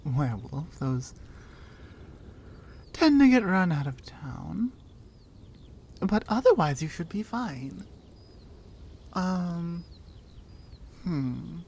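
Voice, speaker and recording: Actress as teasing Nordic warrior woman, ASMR audio drama. Nordic accent, mellow and slow, playfully dry and doubting. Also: fairly low pitch, a little hiss or hum, full character voice. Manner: playful, dry, conversational with pauses. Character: strong, teasing, skeptical female Nordic warrior.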